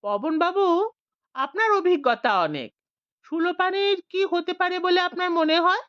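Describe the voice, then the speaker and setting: A woman's voice: very high voice, lively intonation, unhurried, no room sound, voice pushed up. A woman voicing a grumpy village police chief who answers curtly and snaps. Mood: snappish. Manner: snappish and curt. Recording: dull lo-fi.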